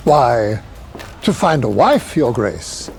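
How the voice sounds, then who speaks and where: An actor playing a deferential, dry manservant to a duke, formal and measured, in an audio drama. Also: male voice, medium pitch, very animated, gravelly, soft background music, exaggerated voice. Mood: dutiful, dry.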